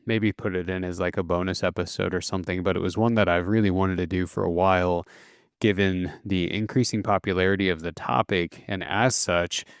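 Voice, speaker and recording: Deep-voiced smooth male podcast host. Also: dry recording, studio quality. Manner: relaxed and explanatory, with casual fillers and self-corrections. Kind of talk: solo podcast explainer on nutrition.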